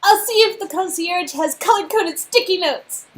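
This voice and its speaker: A woman voicing an excitable, affectionate young woman in a game dialogue reading, with a gushing, bubbly manner. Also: very high-pitched, moderate pace, voice pushed up. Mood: delighted.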